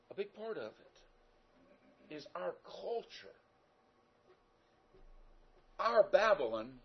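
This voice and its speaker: A Southern American man delivers a folksy, conversational sermon, his voice husky and pitched a touch high. He pushes his points up with emphatic rises. The recording sounds dull and lo-fi. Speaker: preacher.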